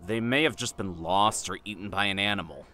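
A man's voice: lowish voice, a little fast, sharp tone. A man playing a curious, doubting tavern patron in a fantasy audio drama.

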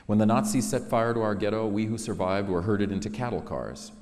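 A middle-aged man gives a solemn, dramatic poetry reading, measured and expressive, with weighty pauses. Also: on the low side. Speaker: poet reading own work. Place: large synagogue sanctuary, at a lectern. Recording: echoey hall reverb.

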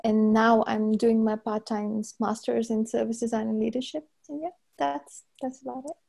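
A woman's voice: on the high side, level tone, moderate pace, creaky, dark tone. A woman from Pakistan, a part-time master's student, introducing herself hesitantly as a panelist on a video call.

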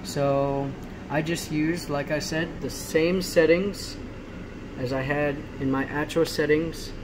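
A man giving a casual, off-the-cuff tutorial in a halting way with frequent check-ins, recorded on a handheld phone indoors. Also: a little high. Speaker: tutorial maker. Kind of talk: casual off-the-cuff screen walkthrough. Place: small room indoors at a laptop. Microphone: handheld phone camera filming the screen. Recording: noisy home phone video, steady hum.

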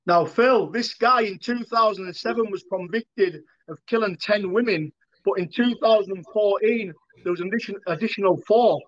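A man's voice: high voice, moderate pace. A middle-aged British man hosting an interview podcast and putting questions to his guest over a video call. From United Kingdom. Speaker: podcast host. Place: indoor room, on a video call. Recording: dull, lo-fi video call audio.

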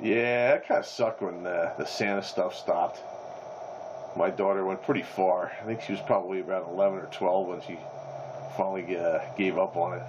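A middle-aged American man with a low voice chats casually over CB radio. The sound is dull and covered in very loud static. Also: steady tone, moderate pace. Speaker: CB radio operator chatting on air. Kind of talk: casual chat.

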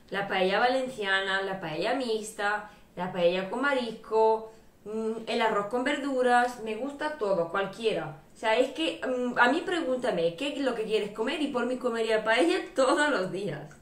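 A woman's voice: on the high side, lively intonation, moderate pace. A woman in her thirties from Bulgaria chatting casually and enthusiastically to camera as a vlogger. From Sofia, Bulgaria. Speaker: vlogger, channel host. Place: small bare-walled room indoors. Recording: roomy echo, distant microphone.

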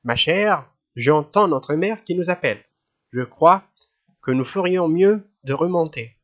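A man putting on a playful young boy who sounds high and eager. The voice stays lively and a bit boastful. The recording is dull and lo-fi. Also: a little slow. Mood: mock-formal. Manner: boisterous, playful.

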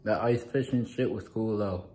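A man's voice: fairly low pitch, normal speed, loud music behind the voice. A young man talking casually to his phone camera in a small living room, rambling and repeating the same filler phrases. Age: young adult. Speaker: vlogger. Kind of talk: casual vlog talk to camera. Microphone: phone camera at arm's length.

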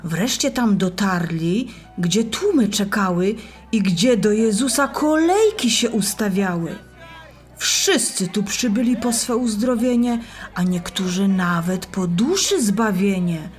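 A woman narrating a scripted rhymed Bible story for children in a deliberate, expressive way. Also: medium pitch, soft background music.

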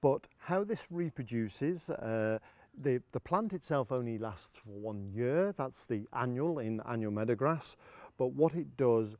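A man's voice: mid-range voice, expressive, unhurried, darkish tone, no room sound. A middle-aged man, demonstrating lawn care outdoors in a garden, explaining practically with many fillers.

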